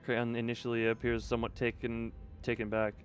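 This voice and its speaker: A man's voice: mid-range voice, monotone, fairly quick. A man livestreaming a game, commenting casually and thinking aloud in a rambling way as he goes. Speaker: game streamer. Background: game music.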